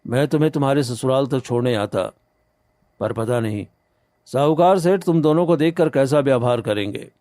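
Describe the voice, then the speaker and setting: Man as poor village farmer, proud, protective, emotional, medium-pitched, dry studio sound. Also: normal speed, mellow, no echo, lightly acted. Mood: tender. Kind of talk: Hindi animated moral story dialogue.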